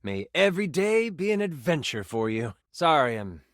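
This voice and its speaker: A man voicing a nerdy, earnest, easily flustered tabletop game master in a video game, with an eager, stammering, expressive delivery. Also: on the high side, normal speed, no echo, clean studio sound. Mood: eager, playful. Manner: stammering, eager, gushing, self-conscious.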